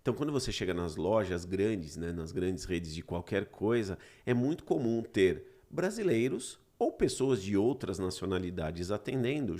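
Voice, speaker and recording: A man's voice: lowish voice, darkish tone. A man in his forties co-hosting a casual book discussion, talking with emphatic asides. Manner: conversational, emphatic asides to listeners. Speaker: book club co-host.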